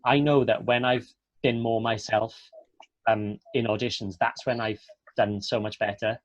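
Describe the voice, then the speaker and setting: A man's voice: mid-range voice, steady tone, measured pace, dry recording, highs cut off. A man from south Wales with a Welsh accent, an actor speaking in a chatty, earnest, encouraging way as he gives informal advice to students. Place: close dry online webinar call.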